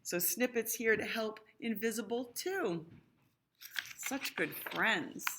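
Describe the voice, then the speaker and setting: Unhurried, higher-voiced woman reading a storybook. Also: slight background noise. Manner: expressive, explaining to young listeners. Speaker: storybook reader. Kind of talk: children's read-aloud with explanatory asides.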